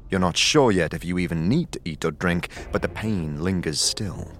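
A man narrating an audio drama in a steady, descriptive storytelling style. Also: sits low, normal speed, slight background noise. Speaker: narrator and producer. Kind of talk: scripted narration. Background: faint music.

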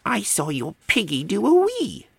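A man voicing a cheeky, nosy small child in a bobble hat for a storybook reading, with a squeaky voice. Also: huge pitch swings, normal speed, creaky, big-room sound, exaggerated voice. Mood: cheeky, fascinated. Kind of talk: storybook read-aloud character voice.

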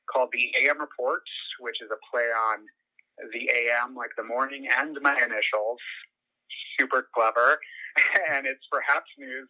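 A man's voice: mid-range voice, pushed and bright. An American man answering interview questions as a podcast guest, earnest and feeling for his words as he talks. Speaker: podcast guest, journalist and political commentator. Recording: muffled lo-fi phone-like line.

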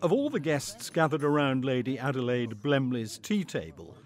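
Animated male storyteller. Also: mid-range voice, moderate pace. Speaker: audio play narrator. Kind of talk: scripted story narration.

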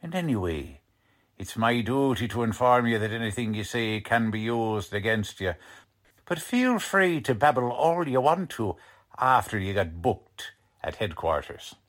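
A man playing a gruff, blunt, streetwise police lieutenant in a 1940s radio crime drama, talking in tough-cop slang. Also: mid-range voice, very animated, unhurried, a bit bright. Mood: stern, sardonic.

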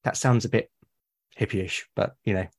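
A man's voice: lowish voice, fairly even intonation, moderate pace. A British man, a podcast guest, talking in a reflective, casual way and often restarting and repeating words mid-sentence. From Britain.

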